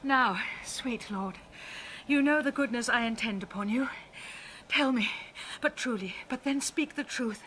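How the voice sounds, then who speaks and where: A jealous, seductive royal lady in classical audio drama. Her voice is high, with an insistent, intimate edge and faint ambient noise behind it. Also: measured pace, sharp tone, low-quality recording. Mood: coaxing, suspicious.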